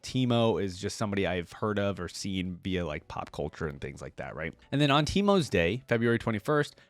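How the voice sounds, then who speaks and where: A man hosting a reaction video, chatting casually, reading aloud and thinking out loud with asides to viewers. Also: medium pitch, dry recording.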